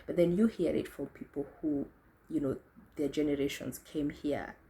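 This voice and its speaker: A woman's voice: sits low, fairly even intonation, normal speed, mellow. A young woman from Kenya reflecting on her own experiences in a thoughtful, self-correcting way, often restarting her sentences. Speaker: speaker sharing experiences. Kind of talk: reflective talk to camera.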